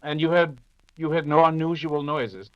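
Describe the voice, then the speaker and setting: A man's voice: a little high, fairly even intonation, normal speed, clean tone, no room sound, dull audio, close to an everyday voice. A man playing a composed, dismissive, wry detective in an old-time radio mystery, urbane in manner. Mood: curious.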